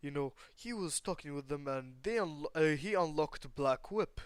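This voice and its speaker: Clear-voiced man casually rambling through a story. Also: on the high side, lively intonation, no echo. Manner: rambling, halting, casual swearing. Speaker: storyteller and host of a fan what-if channel. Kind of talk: casual improvised retelling of anime fan fiction with acted dialogue.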